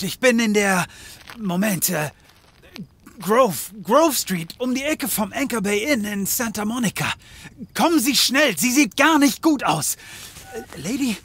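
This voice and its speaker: A man's voice: sits high, a bit bright, slightly rough, heavily acted. A man playing a grumpy, sardonic private detective in his late thirties in a comedic audio drama, muttering and stammering, with some noise behind him.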